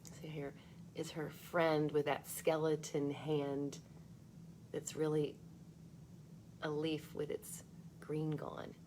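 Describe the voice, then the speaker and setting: A woman reading a picture book aloud for children, expressive, with chatty asides spoken straight to young listeners. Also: sits low, slow, long pauses, slight background noise.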